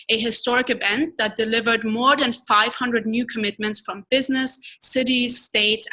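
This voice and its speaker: Bright-voiced woman moderating a webinar. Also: a little high. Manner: polite, slightly halting with ums. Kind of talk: reading a bio and asking questions.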